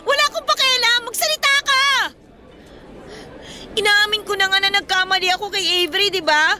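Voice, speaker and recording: High, bright, pleading teenage girl. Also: fairly quick, a little hiss or hum, heavily acted. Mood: desperate, demanding. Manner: emotional, imploring. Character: pleading, desperate teenage student girlfriend, Melissa. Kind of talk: radio drama acting in Filipino.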